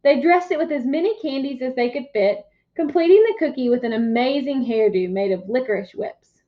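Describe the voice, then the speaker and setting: A woman teacher reading a picture book aloud to young children, expressive and instructive, pausing to ask questions. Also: high voice. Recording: dull, muffled lo-fi sound.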